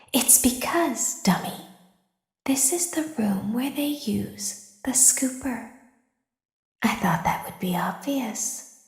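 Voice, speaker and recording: A woman voicing a sinister, girlish female animatronic who talks in a soft, menacing whisper. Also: fairly high pitch, roomy sound. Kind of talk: fan voice acting of game lines.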